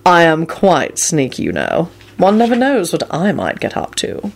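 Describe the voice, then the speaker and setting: A woman voicing a smooth, measured man of authority, mock-solemn and slyly intimidating, in a story. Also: lowish voice, very animated.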